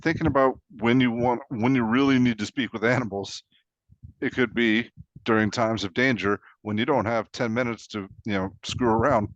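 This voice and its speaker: A man's voice: medium pitch, fairly even intonation, normal speed, dry recording. A man co-hosting a casual two-person discussion, recorded with a dull, dry sound. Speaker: co-host.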